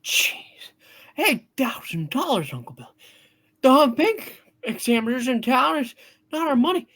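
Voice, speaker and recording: A woman's voice: high-pitched, very animated, unhurried, a bit bright, voice pushed up, heavily acted. A woman voicing an earnest, harried young businessman, anxious and determined, in a hammed-up radio play. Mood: alarmed.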